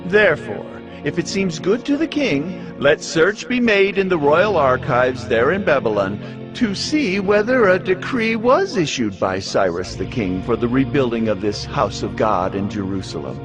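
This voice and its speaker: A man's voice: on the high side, sing-song, normal speed. A man playing a formal provincial official, deferentially petitioning a Persian king in a dramatized Bible reading. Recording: music bed under the voice.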